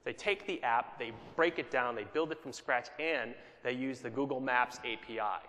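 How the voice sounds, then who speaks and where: A man's voice: mid-range voice, moderate pace, bright, forceful tone, slight background noise. A man giving a conference keynote, conversational, often checking in with the audience by asking "right?". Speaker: keynote speaker. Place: conference hall. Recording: long hall reverb.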